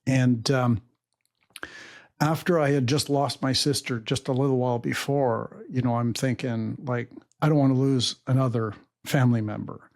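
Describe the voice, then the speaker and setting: A middle-aged man with a gravelly, fry-laden voice at an ordinary pitch, who grew up speaking German at home. He tells his story slowly and reflectively, with plenty of small pauses and fillers. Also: steady tone, a little mellow. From Canada. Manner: measured, reflective, many fillers. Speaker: podcast host and guest. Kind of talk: personal storytelling interview.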